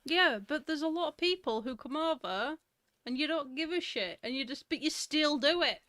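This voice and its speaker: A woman's voice: high-pitched, lively intonation, moderate pace, sharp tone, dry recording. Teasing woman, dry close sound. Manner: teasing, laughs often. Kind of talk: casual chat.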